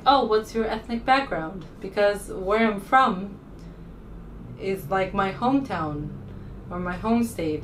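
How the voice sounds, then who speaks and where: A woman from the United States talking casually as a vlogger, rambling informally and hesitantly as she thinks aloud. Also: lowish voice. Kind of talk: casual rambling vlog talk to camera. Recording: distant mic with faint room noise.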